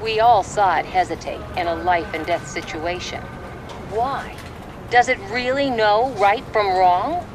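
A woman plays a probing television reporter in a video game. Her mid-range voice is crisp and dramatic in broadcast style, with clear noise behind it. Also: mid-range voice, measured pace, natural voice. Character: probing, insistent female TV news reporter.

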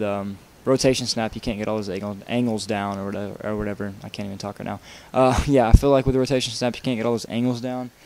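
A young man with a somewhat low voice narrates a game-building tutorial over footage. He talks loosely and casually, trailing off, restarting sentences and padding with fillers. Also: darkish tone, slight background noise. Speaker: video game tutorial maker. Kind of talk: rambling tutorial voice-over while playing.

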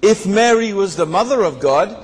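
A man tells his own story to a seated crowd in a large hall, with fillers and rhetorical asides along the way. His voice sits somewhat high for a man. A handheld mic picks him up, and the big room adds a long reverb tail. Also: animated, moderate pace, husky. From born in Tanzania, raised in England. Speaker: speaker. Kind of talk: personal story talk to an audience. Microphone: handheld microphone.

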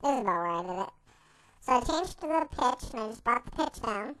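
A squeaky chipmunk voice, made by a young man pitch shifting his own speech, walks through a software tutorial step by step. The tone is bright and thin, and the delivery stays casual and explanatory. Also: lively intonation, a little fast, exaggerated voice. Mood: explaining. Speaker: video tutorial host. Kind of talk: screen-recorded software tutorial.

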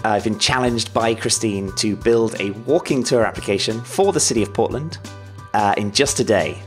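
A man in his thirties casually walks through code on a stream. His voice sits low, close on the mic, with faint music behind. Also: normal speed. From Australia. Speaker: developer and live streamer. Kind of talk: live coding walkthrough, casual. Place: home office room. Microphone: studio mic on boom arm, close.

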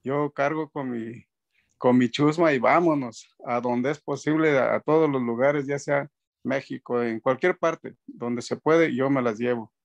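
A man's voice: medium pitch, measured pace, creaky, muffled recording. A man in his fifties on a video call from home, a band's keyboardist chatting casually, rambling with many fillers and restarts. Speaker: band keyboardist, guest. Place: indoor room at home. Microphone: video call webcam.

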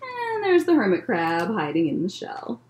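A young American woman, a children's librarian, reading a picture book aloud to children with expressive, deliberate phrasing and short asides. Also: medium pitch, a little hiss or hum. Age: young adult. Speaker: children's librarian hosting story time. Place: indoor library room lined with bookshelves. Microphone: webcam at desk distance.

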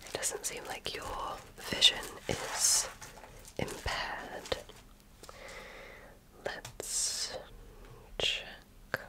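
A woman whispering breathily in a gentle, deliberate, patient way, as an examiner in an ASMR roleplay. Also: low-quality recording. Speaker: ASMR performer playing a medical examiner. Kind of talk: ASMR roleplay, soft-spoken personal attention.

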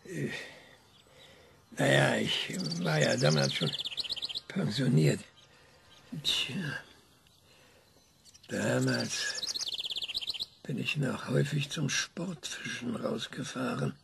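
An older retired scientist in a radio drama, with a gravelly voice. He halts when interviewed and turns sharp and demanding on the phone. Clear noise sits under the voice. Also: mid-range voice, animated, takes it slowly, pauses often, full character voice. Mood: reminiscing. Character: retired male scientist, gruff and indignant. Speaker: retired space-mission trainer, interviewee. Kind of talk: radio play acting.

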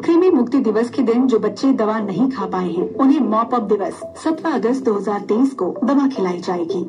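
A woman with an Indian accent reading a scripted public-service announcement on radio, through a boombox speaker. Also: lo-fi sound.

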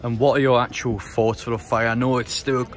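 A man's voice: medium pitch, level tone, brisk. A man interviewing football fans on the street, asking long rambling questions. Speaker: interviewer, YouTuber. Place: city street outdoors.

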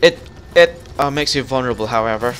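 A man's voice: mid-range voice, brisk, a bit bright, soft background music. A man hosting a gaming channel, chatting casually as he guides a friend through a co-op game, often restarting his sentences. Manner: guiding and giving directions, with fillers and restarts. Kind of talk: casual co-op gameplay chat.

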